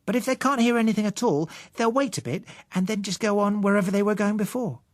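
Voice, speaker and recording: A man's voice: high voice, fast talker, dry recording, studio quality, voice pushed up, close to an everyday voice. A man voicing an earnest, huffy young girl who explains things pointedly in an audiobook story. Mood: matter-of-fact. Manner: matter-of-fact, pointed explaining. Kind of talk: audiobook character acting.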